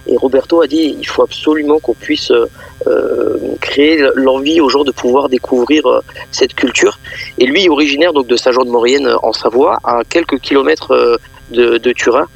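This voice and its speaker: A man speaking off the cuff as a festival organiser and guest in a radio interview, halting and often repeating words mid-phrase. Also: mid-range voice, gravelly, breathy edge, dull audio, faint music behind.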